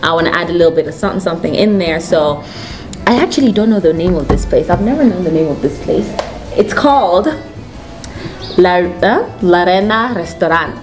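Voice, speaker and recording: Low-pitched young Kenyan woman, chatty. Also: lively intonation, brisk. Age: young adult. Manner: chatty, informal. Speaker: food vlog host. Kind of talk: casual restaurant review chat to camera. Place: indoors at a restaurant table. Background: music.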